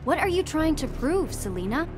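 An anxious young woman in a video game, with a high, earnest, pleading voice. Music plays clearly behind the lines. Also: sing-song, moderate pace. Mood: wary. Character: anxious young woman possessed by an evil witch. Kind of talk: video game character acting.

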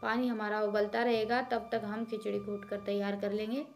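A woman's voice: medium pitch, little pitch movement, normal speed, soft background music. A woman in her thirties from Haryana, giving a homely, chatty voice-over as a village cooking vlogger, explaining each step to her viewers. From Haryana, India.

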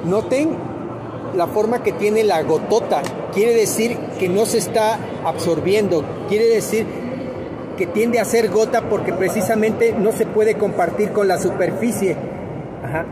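Upbeat man over loud fair noise. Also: high voice, a little slow. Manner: enthusiastic, pointing things out to viewers. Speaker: vlogger, host. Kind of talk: casual demo chat at a trade fair. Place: busy trade fair hall. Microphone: handheld phone camera. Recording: loud crowd noise around.

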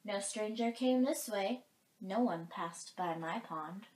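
A woman voicing a matter-of-fact storybook muskrat in an assured, deliberate way. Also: mid-range voice, a little mellow, lightly acted. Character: calm, matter-of-fact munching muskrat by a pond. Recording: roomy, echoing space.

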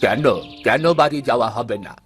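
A man playing a kindly, mysterious old beggar in a fairy-tale cartoon, explaining gently and patiently. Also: medium pitch, a little fast, soft background music, close to an everyday voice. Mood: curious, patient.

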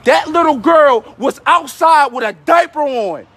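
An angry, agitated man yelling in a heated street argument, swearing and repeating himself. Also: very high-pitched, animated, measured pace. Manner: loud, yelling, profane, repetitive. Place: outdoors on a city street.

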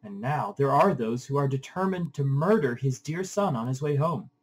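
A man's voice: medium pitch, expressive, normal speed, husky, low-quality recording. A man voicing an indignant goddess pleading with her father in a declamatory, impassioned read-aloud of an epic.

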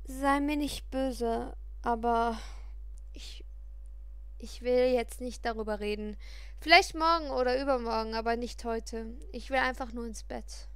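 A woman's voice: fairly high pitch, a little slow, clean tone, no room sound. A woman voicing a polite, flustered teenage girl in an audiobook, earnest and emotive. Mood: downcast. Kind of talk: audiobook character voice.

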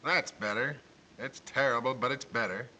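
A man's voice: medium pitch, normal speed, bright, forceful tone, low-quality recording. A man playing a bossy, impatient studio taskmaster in an old film comedy, barking out orders. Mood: grudging, bossy. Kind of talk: 1930s film comedy acting.

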